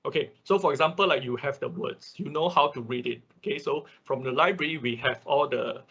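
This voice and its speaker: Male instructor, non-native English speaker, slightly rough husky voice, halting and repetitive explainer, lo-fi online class sound. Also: mid-range voice, a bit bright. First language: not English. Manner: halting, repetitive explaining with frequent check words. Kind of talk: informal explanatory lecture. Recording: dull, lo-fi online class audio.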